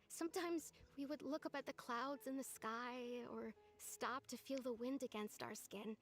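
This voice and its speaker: An actress voicing a gentle, wistful young village woman in a video game, speaking softly and earnestly. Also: a little high. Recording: clean voice over background game music.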